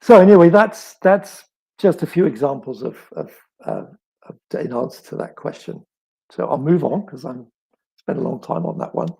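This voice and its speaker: A middle-aged man with a crackly, raspy voice, as if with a frog in his throat, chatting reflectively as an author and telling stories with thoughtful pauses and emphasis. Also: a little high, very animated, mellow. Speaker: author answering audience questions. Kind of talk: reflective live chat, storytelling.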